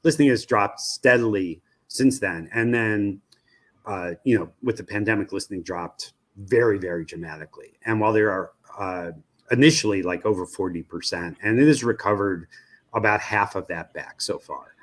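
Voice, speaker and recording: Older American male, lowish pitch. Expert interview guest, analytical, halting and repetitive phrasing. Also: fairly bright tone. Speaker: guest, media research executive. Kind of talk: interview answers, analytical explanation.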